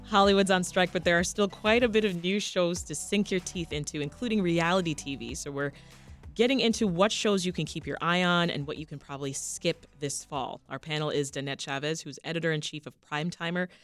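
A woman hosting a radio panel discussion, lively and quick to laugh, with short interjections. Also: on the low side, faint music behind. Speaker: radio show host.

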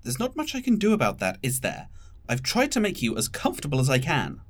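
An actor playing a stressed, controlling, pragmatic leader in an audio drama, with a husky voice. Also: mid-range voice, wildly swooping pitch, a little fast. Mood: reassuring, strained.

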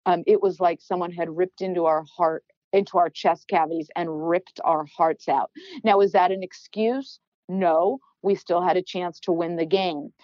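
Loud, animated middle-aged woman. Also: fairly low pitch, normal speed, dry recording, dull audio. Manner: loud, animated, gets worked up. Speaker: podcast guest, former team executive. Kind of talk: storytelling in conversation.

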